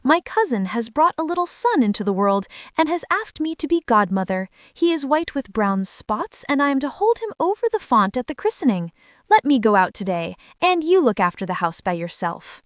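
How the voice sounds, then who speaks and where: A sly, greedy she-cat from a storybook, played by a woman. The voice is high and lively, smooth-talking and brazen, recorded close and dry. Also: moderate pace, no room sound. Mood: coaxing. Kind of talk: children's fairy-tale character voice.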